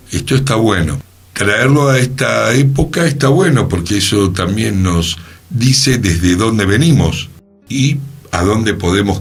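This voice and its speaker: A man's voice: deep voice, breathy edge. A man with an Argentine accent discussing things reflectively and conversationally on a radio panel.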